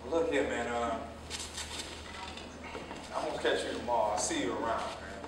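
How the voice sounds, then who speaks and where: A man's voice: mid-range voice, normal speed, close to an everyday voice. A man in a staged play reading playing a disillusioned American ex-convict, a plainspoken dreamer turned realist who tells stories with emphatic repetition. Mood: guarded. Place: indoor theater stage, recorded from afar. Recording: echoey stage, distant mic, faint noise.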